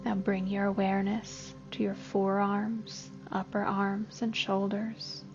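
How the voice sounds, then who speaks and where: Female meditation guide, gentle and very measured, with noticeable vocal fry; slightly dull recording over music. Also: medium pitch, monotone. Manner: gentle, unhurried instruction. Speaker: therapist guiding a meditation. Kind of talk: scripted guided body scan meditation. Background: soft music.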